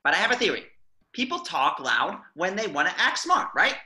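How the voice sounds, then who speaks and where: A man's voice: sits high, very animated, normal speed, bright, forceful tone, echoey room, slight background noise, heavily acted. A man voicing a gloomy, exasperated squid band leader in a cartoon table read, whiny and melodramatic. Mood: weary.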